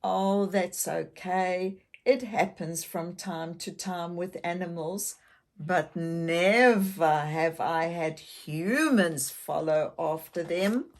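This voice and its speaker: A woman's voice: on the low side, takes it slowly. Male storybook rabbit, played by a woman: friendly, easygoing, mild, takes his time.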